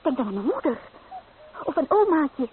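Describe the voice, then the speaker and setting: An actress playing an anxious, torn young bride-to-be who hesitates and pleads in a radio play. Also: female voice, sits high, big pitch swings, normal speed. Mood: hesitant, pleading. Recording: tinny, noisy old radio play.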